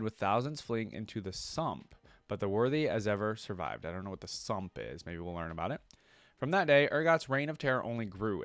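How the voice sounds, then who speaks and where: A man's voice: on the low side, dry recording. A man reading a story aloud and commenting casually as a reaction video host, often thinking aloud.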